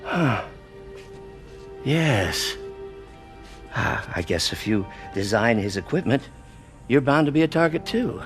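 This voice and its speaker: A man's voice: mid-range voice, big pitch swings, slow pace, long pauses, over music. A man playing a kindly older scientist mentor in a video game, speaking formally and articulately with earnest care. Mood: reassuring.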